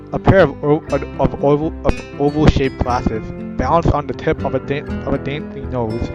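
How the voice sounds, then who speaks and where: A man casually reading a visual novel aloud, chatting and correcting himself as he goes. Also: mid-range voice. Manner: self-correcting, chatty asides. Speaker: gaming video creator. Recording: dull sound with guitar music.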